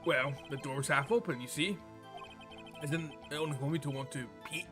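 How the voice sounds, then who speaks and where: A man's voice: fairly high pitch, normal speed, a bit bright, lightly acted. A man voicing a nervous, evasive male witness in a visual novel game, hesitant and stammering as he over-explains. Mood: recounting. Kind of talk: visual novel game dialogue read aloud. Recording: game music behind the voice.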